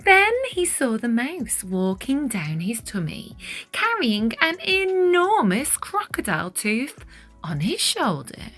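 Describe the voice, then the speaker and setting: Woman storyteller, slow deliberate pace, very animated intonation, children's picture book narration, music behind. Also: a little high. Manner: deliberate, dramatic storytelling with stressed words. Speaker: read-aloud storyteller for children.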